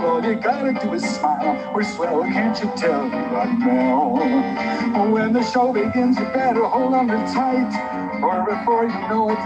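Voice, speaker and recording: A man singing a bouncy, upbeat show jingle in a dull lo-fi recording. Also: sits high. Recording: dull lo-fi with loud music.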